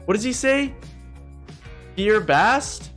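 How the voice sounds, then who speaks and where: A man's voice: high voice, slow pace, faint music behind. A young man giving casual, opinionated, joking commentary as he ranks things in a gaming video. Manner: animated, joking, mildly profane.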